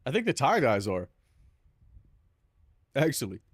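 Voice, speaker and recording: A man's voice: medium pitch, wildly swooping pitch, a little slow, husky, dry recording, studio quality. A young man with a Southern Californian accent, chatting casually on a stream in loose, profane banter full of "bro".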